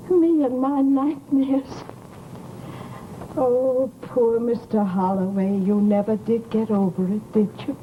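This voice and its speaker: An actress in an old-time radio horror drama playing a nervous, chatty neighbour, fluttery, hesitant and emphatic. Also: female voice, a little high, phone-quality sound, a little hiss or hum. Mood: pitying, relieved. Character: nervous, chatty neighbour woman, disbelieving and increasingly frightened.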